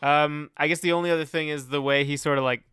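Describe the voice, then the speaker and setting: Bright, clear-voiced man chatting casually. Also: on the high side, fairly even intonation, fast talker, dry recording, studio quality. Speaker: podcast co-host. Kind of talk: casual music discussion chat.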